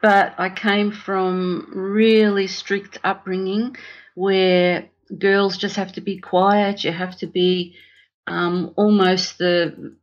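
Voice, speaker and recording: An Australian woman speaking as an interview guest, candid and reflective, in a halting, casual way. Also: mid-range voice, little pitch movement, dull audio. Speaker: podcast guest, artist.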